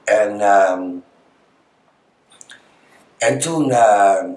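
A Dutch man talking as an interview guest, telling personal stories conversationally and halting as he searches for words. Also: lowish voice, a little mellow. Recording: echoey, reverberant room.

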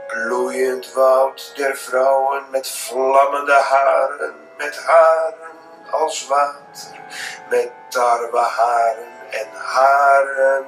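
A man giving a theatrical, declamatory poetry recitation with long pauses, in a roomy, distant playback. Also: mid-range voice, a bit bright. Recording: roomy playback from afar, faint music.

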